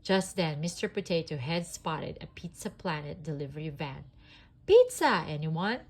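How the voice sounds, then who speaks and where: A sniffly woman reading a children's storybook aloud in an expressive, homemade style. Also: sits low, normal speed, husky.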